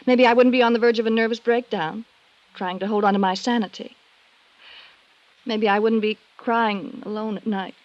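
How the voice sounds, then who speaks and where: Actress as a cool, evasive femme fatale. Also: female voice, fairly high pitch, steady tone, normal speed, muffled recording, lightly acted. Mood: wounded, defiant. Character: cool, evasive married woman suspected of insurance fraud. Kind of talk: old-time radio drama acting.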